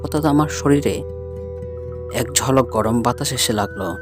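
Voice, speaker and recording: Casting: male storyteller, middle-pitched voice, scripted spooky-tale narration, clear music bed underneath. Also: huge pitch swings, a little slow. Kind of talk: scripted first-person ghost story narration.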